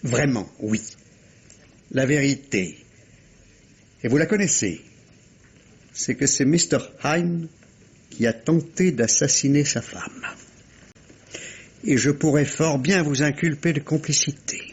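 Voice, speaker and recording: A man playing a shrewd, sardonic, authoritative police inspector in 1950s French radio mystery style, questioning deliberately. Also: fairly high pitch, expressive, husky, full character voice. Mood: pressing, dry. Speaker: actor. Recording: dull vintage radio recording.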